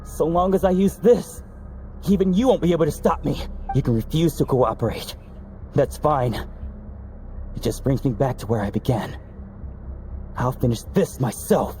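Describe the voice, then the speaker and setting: An actor playing a stubborn, desperate young knight who speaks earnestly and emotionally in a game cutscene. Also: male voice, on the high side, normal speed, pauses often, warm tone, slight background noise, close to an everyday voice. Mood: defiant.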